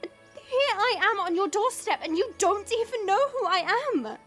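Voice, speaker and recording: A woman's voice: extremely high pitch, animated, unhurried, a bit bright, faint music behind, heavily acted. A woman playing a proud, determined dragon princess cursed into human form in a fantasy audio drama, earnest and regal. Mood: hurt, insistent. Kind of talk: fantasy audio roleplay acting.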